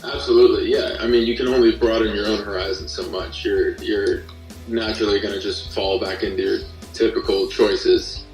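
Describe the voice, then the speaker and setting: Low, even-voiced man in a roomy space. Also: normal speed. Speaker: podcast guest, guitarist. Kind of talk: casual chat, telling own story. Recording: roomy, distant mic, faint music.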